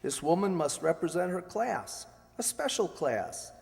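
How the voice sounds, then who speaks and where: A man's voice: sits high, sing-song, unhurried, creaky, darkish tone, full character voice. A man playing a witty, needling, urbane ex-husband in an amateur radio play, sardonic and theatrical. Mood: reproachful. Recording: echoey hall, long reverb.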